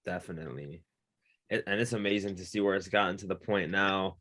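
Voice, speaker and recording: A man's voice: sits low, fairly even intonation, brisk, fairly bright tone. A man hosting a producer interview show, talking casually with hype and lots of affirming thanks to his guest. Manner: hype, affirming, grateful host talk. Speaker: interview host.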